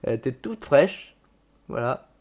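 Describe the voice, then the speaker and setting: A man's voice: mid-range voice, very animated, measured pace, vocal fry, darkish tone, dull audio. A young man casually reacting to his own old videos, chatty and self-mocking, swearing and laughing at himself.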